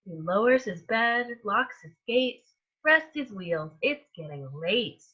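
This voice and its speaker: A woman reading a picture book aloud to young children as a storytime host, in an expressive manner that stretches out words and softens endings. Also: medium pitch, slightly rough, muffled recording. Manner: animated, with drawn-out words and hushed endings.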